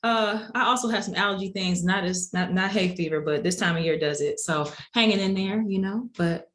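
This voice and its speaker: A middle-aged woman, a city council member, answering interview questions in a measured, explanatory way. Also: mid-range voice, a bit bright. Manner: measured, explanatory, uses fillers.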